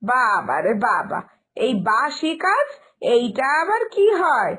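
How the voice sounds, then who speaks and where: A woman's voice: a little high, sing-song, slow, fairly bright tone, breathy edge, full character voice. A woman voicing a lazy, slovenly aunt in a cartoon family story, breezy and chatty, scoffing at fuss. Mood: dismissive. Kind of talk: animated Bengali family story dialogue.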